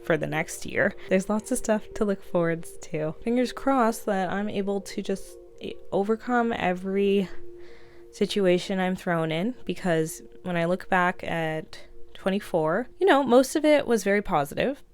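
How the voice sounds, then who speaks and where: A young woman in her mid-twenties chats straight to camera in a loose, reflective vlog. Her voice sits at an ordinary middle pitch, and she keeps padding her sentences with "like" as she rambles. Faint music plays underneath. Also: animated, normal speed. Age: 20s. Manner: chatty, fills speech with "like", rambling. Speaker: vlogger. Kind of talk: casual reflective vlog monologue.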